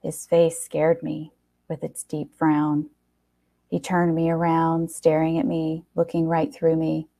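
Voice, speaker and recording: A woman reading a short story aloud in an expressive, wry first-person narration with dramatic pauses. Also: deep voice, vocal fry.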